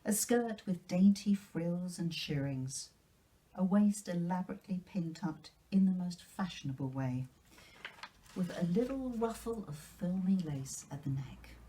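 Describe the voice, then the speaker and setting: Slow, low-voiced woman storyteller. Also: a little hiss or hum, poor audio. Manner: careful, expressive reading. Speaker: librarian reading for children. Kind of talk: storytelling, scripted narration from a novel.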